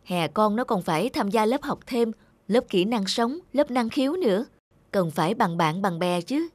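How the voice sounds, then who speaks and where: An actress playing a brisk, pushy, dismissive mother in an animated film. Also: medium pitch, no echo, lightly acted. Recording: music under the dialogue.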